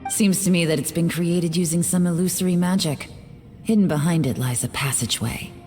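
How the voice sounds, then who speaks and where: Actress as a cool unfussy lady investigator in a game, dark velvety lowish voice, reverby. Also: a little fast, faint music behind, close to an everyday voice. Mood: calm, assured. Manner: cool, understated. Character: cool, self-possessed woman investigator, observant and unfussy. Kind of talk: video game voice acting.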